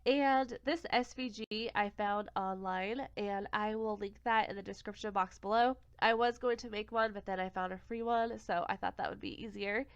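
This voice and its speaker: A young woman with a cold-congested voice talks through a craft software tutorial step by step, sometimes catching and correcting her own slips. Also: mid-range voice, fairly even intonation, moderate pace, hardly any pauses, smooth, no room sound. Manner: step-by-step, self-correcting, plain and friendly. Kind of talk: screen-recorded software tutorial. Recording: dull, lo-fi home recording.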